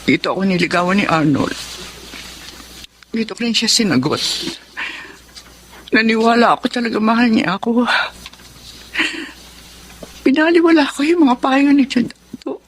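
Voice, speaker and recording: A woman's voice: a little high, expressive, moderate pace, long pauses, slight background noise, close to an everyday voice. A woman playing a wounded, betrayed lady in a Tagalog radio melodrama. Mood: heartbroken. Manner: emotional, dramatic delivery. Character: betrayed emotional well-off woman, tender but wounded.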